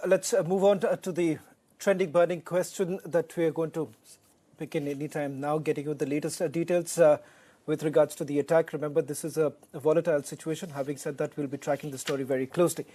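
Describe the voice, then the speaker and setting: A man's voice: a little high, darkish tone. A man with an Indian accent anchoring live television news, speaking in emphatic, formal phrasing.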